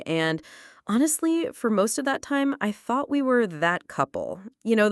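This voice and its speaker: A woman in her late twenties narrating a personal story in the first person, confessional and lively. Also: medium pitch, smooth, no room sound, clean studio sound. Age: late 20s.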